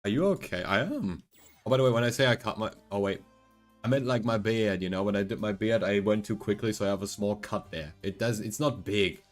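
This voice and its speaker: A man in his twenties with a deep voice, streaming a game and rambling casually, reassuring his chat with lots of fillers. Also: a little fast, faint music behind. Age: 20s.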